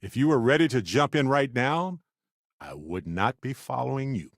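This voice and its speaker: A man's voice: mid-range voice, sing-song, moderate pace, dry recording, clean studio sound. A male actor voicing a charming, good-humored space explorer in a video game, with a glib, playful, conversational delivery and a theatrical streak. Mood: warm, earnest. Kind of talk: video game voice acting, companion dialogue.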